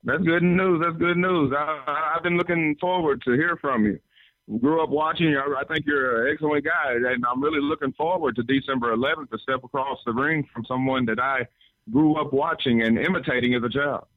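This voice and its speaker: A man's voice: on the high side, steady tone, brisk, no echo. Stammering young man. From Memphis, Tennessee. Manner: earnest, stammering, restarts words. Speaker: professional wrestler. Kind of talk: wrestling promo. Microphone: phone call. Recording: tinny phone line.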